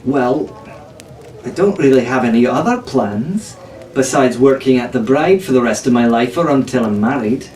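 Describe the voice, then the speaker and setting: A man's voice: medium pitch, expressive, normal speed, a little mellow, far from the mic, lightly acted. In a roomy, echoing space with faint noise, a man acts out a strong-willed teenage tavern girl from a storybook. She knows her legends and delivers them earnestly and firmly. The voice comes from slightly off the mic. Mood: sad, bitter.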